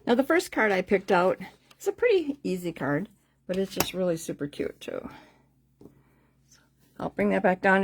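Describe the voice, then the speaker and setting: A woman hosting a live card-making class in a casual, chatty, rambling way, repeating herself, her voice slightly worn as if she is losing it. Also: mid-range voice. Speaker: host of a card-making craft class livestream. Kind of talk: casual live tutorial chat with sales pitch.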